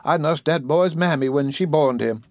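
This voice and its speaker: A man voicing a bossy, motherly old Southern woman with a drawling accent, speaking in a high put-on voice. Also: darkish tone, no echo, natural voice. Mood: scolding, motherly. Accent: American Southern. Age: older. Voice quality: high put-on voice, drawn-out dialect speech.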